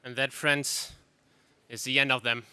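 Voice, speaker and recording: An actor playing a gallant, fatalistic prince ally in a stagey 1930s space radio serial style. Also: male voice, mid-range voice, level tone, unhurried, natural voice.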